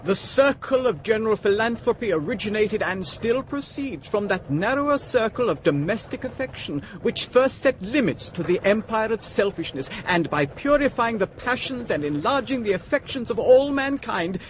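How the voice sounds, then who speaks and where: An actor playing an earnest, optimistic gentleman philosopher in a period radio drama, speaking with a British accent in florid sentences. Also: very high-pitched, animated, normal speed, hardly any pauses, slight background noise, low-quality recording. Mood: earnest, rapturous.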